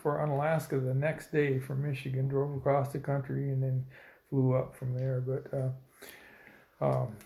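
A man in his seventies from Indiana, telling his life story slowly in an oral history interview, with many pauses and fillers. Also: medium pitch, monotone, gravelly, mellow, echoey room, a little hiss or hum. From Indiana, United States. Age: 70s. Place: old building, a former dormitory.

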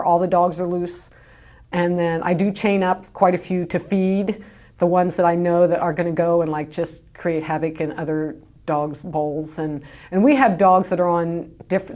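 Lady talking about her sled dogs, kinda low voice, lots of ums and restarts. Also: darkish tone. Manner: chatty, many fillers and restarts. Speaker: dog kennel owner, guest. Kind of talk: casual recorded interview. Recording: home tape recording.

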